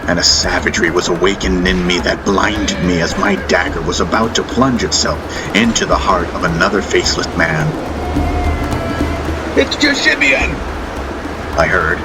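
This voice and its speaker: A man narrating a fantasy audiobook in the first person, with intense, solemn, dramatic storytelling. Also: low-pitched. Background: music bed.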